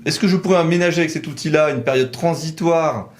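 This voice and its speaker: A man's voice: a little high, fast. A man presenting a conversational legal explainer as a lawyer, reasoning methodically and setting out nuances point by point.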